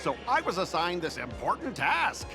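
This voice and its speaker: An actor playing an excitable, obsequious company guide with a grudge in a video game dub, theatrical and overeager. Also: male voice, sits high, normal speed, creaky, music in the background, heavily acted. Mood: indignant.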